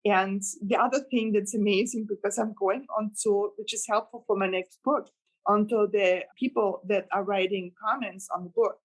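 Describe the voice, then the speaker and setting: A woman's voice: mid-range voice, steady tone, normal speed, low-quality recording. Woman, Austrian accent, German first language, earnest, rambling, casual interview chat. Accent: German-speaking, Austrian. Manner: earnest, rambling, laughs with delight. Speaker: novelist guest.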